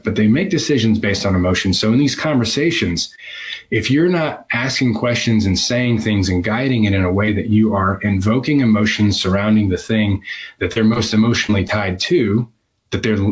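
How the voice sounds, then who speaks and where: Low-pitched man coaching, emphatic. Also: rough recording. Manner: emphatic, repeats key phrases. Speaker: business coach for physical therapists. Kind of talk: conversational instructional video talk to camera.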